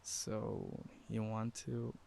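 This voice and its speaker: An actor playing an affectionate husband in an audio drama, with slow, drawn-out delivery. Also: male voice, fairly low pitch, flat delivery, gravelly, dark tone, full character voice. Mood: sleepy, tender. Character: affectionate husband, turning eerily cheerful when possessed.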